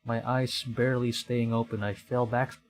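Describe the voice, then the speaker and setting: A man's voice: medium pitch, level tone, normal speed. A man streaming a horror visual novel, reading it aloud with live reactions and acting out the dialogue dramatically.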